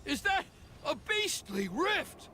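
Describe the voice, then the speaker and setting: An actor playing a zealous, ruthless old village elder in a video game, with a strained, grandiose delivery. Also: male voice, very high voice, big pitch swings, slow, bright, forceful tone, slight background noise, heavily acted. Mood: alarmed. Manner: grandiose, declamatory.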